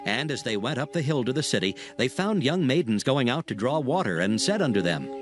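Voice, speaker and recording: Expressive male scripture narrator. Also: mid-range voice. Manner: measured, formal. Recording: faint music behind the voice.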